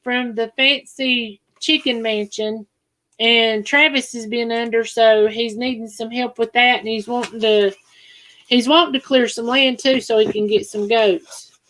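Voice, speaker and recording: A woman's voice: on the high side, steady tone, measured pace, a bit bright, rough recording. A Southern woman hosting a casual live-stream chat, telling homestead stories and laughing often. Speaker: homestead channel host.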